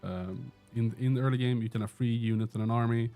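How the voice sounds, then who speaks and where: A man's voice: mid-range voice, fairly even intonation, brisk, mellow, soft background music. A man with a Swedish accent, chatting and joking through a live gameplay stream while answering questions from chat. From Sweden. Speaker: game streamer. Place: indoor room with a door.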